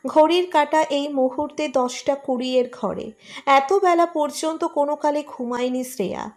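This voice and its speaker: High-pitched woman narrating a romance story. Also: moderate pace, faint music behind.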